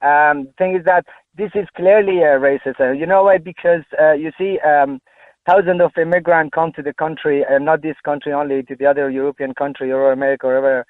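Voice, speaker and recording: A man from Afghanistan calling in to a radio show, speaking haltingly with many restarts over a phone line. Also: on the high side, fairly even intonation, no room sound. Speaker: caller. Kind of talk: phone-in call.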